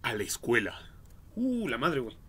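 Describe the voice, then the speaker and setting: A man's voice: medium pitch, huge pitch swings, normal speed, fairly bright tone, husky. A young adult man with a Mexican accent, giving casual, slangy, foul-mouthed and jokey commentary on a game livestream. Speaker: livestream gamer.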